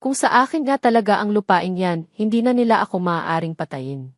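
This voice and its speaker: A woman's voice: mid-range voice, lively intonation, a little fast, no echo, studio quality, natural voice. A woman voicing a frightened, wrongly accused young mother in an audio drama, crying out emotionally. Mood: determined. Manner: emotional, pleading cries.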